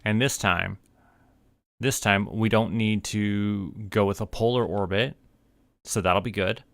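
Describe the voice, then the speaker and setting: A man's voice: fairly low pitch, steady tone, unhurried, creaky, no room sound, studio quality. A man with a Canadian accent doing casual solo gameplay commentary as a streamer, musing to himself as he goes. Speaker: game streamer.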